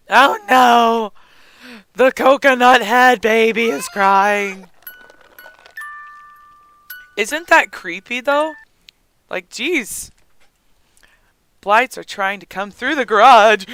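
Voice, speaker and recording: A gaming host with a hoarse, raspy, squeaky voice, chatting casually over gameplay with a lot of giggling and rambling ums. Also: bright, forceful tone. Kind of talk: casual let's-play commentary.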